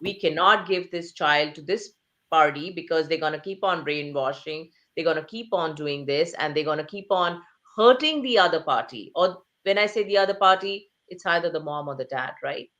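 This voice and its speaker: Earnest woman author, emphatic and low-pitched. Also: normal speed, a bit bright. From Asia. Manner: earnest, emphatic, rhetorical questions; repeats phrases for emphasis. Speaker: author and interview guest. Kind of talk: conversational interview.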